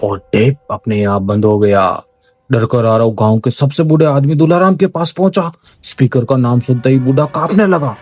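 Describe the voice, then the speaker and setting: A man's voice: mid-range voice, moderate pace, darkish tone. A man giving dramatic, scripted horror narration in an ominous, suspenseful manner, with music behind.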